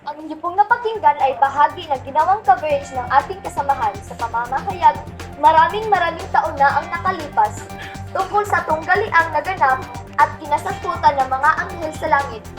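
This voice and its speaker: A woman's voice: high-pitched, expressive, brisk, music in the background. A woman playing a formal radio news correspondent in a radio play. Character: formal female radio news correspondent handing back to anchor. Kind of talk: mock radio news report.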